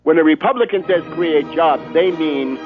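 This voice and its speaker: A man's voice: fairly high pitch, moderate pace, soft background music. An older American man hosting a political radio show, delivering an emphatic, polemical commentary monologue with heavily loaded wording. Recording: muffled phone-like radio audio.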